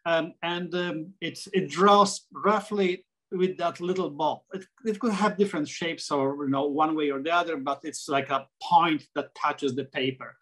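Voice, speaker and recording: A man's voice: fairly high pitch, moderate pace, hardly any pauses, a bit bright. A middle-aged man from Poland with a Polish accent, talking as a guest on a video call interview, with many restarts and fillers. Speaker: interview guest, architect and sketcher. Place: small room at home, framed pictures on wall. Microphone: laptop webcam on video call.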